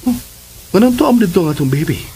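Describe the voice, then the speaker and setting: Casting: playful, loving male spouse, radio serial style, very animated, ordinary male register, slight background noise. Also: high-pitched, quick pace. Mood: playful, amorous. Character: affectionate, playful young husband and father. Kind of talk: Philippine radio drama acting.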